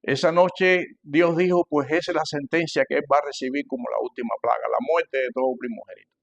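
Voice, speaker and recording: An older man with a Cuban Spanish accent preaching to a congregation, emphatic and repeating phrases, swinging between loud and hushed delivery. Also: on the high side, fairly even intonation, a little fast, gravelly, dull audio. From Cuba. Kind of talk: sermon, teaching a church congregation.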